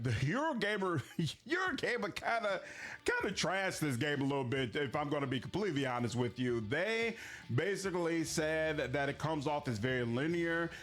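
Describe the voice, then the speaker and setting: A man giving conversational, explanatory opinion commentary as a video game reviewer, measured but emphatic on key words. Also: a little high, fairly bright tone.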